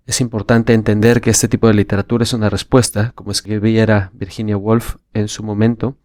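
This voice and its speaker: A man's voice: fairly low pitch, vocal fry, a little mellow, dry recording, studio quality. A man hosting a literary podcast, speaking in Spanish as narrator and interviewer.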